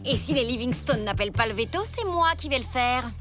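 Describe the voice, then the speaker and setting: A woman's voice: a little high, expressive, fairly quick, a bit bright. A woman voicing a haughty female house cat in a cartoon, making threats. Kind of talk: French cartoon dubbing. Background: music.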